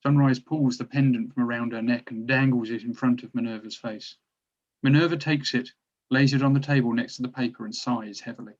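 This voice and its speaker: A man's voice: mid-range voice, steady tone, fairly quick, highs cut off. A man narrating stage directions from a script at a drama table read.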